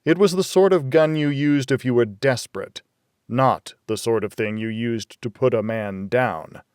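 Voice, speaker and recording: A man's voice: medium pitch, a little slow, a little mellow, clear, resonant tone, no echo, clean studio sound. A man narrating a first-person noir thriller audiobook in a wry, hardboiled storytelling manner.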